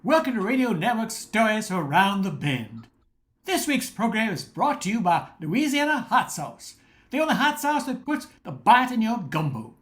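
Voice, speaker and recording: A woman's voice: mid-range voice, sing-song, moderate pace. An older woman reading scripted narration as the announcer of a radio play.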